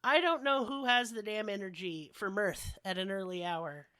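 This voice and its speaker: A woman's voice: medium pitch, normal speed, a bit bright, dry recording. A woman podcast host casually telling comedic stories with sweary, sardonic rants while a bit under the weather. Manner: profane, sardonic ranting. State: sick with a cold-like illness.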